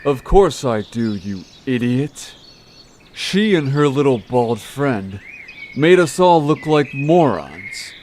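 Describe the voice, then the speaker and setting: A man's voice: mid-range voice, slow. A man voicing a manipulative, vengeful monster gang leader in an audio drama, with a sarcastic, condescending drawl. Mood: menacing, condescending. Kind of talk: scripted audio drama acting. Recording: steady background noise with chirping birds. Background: birdsong, forest ambience.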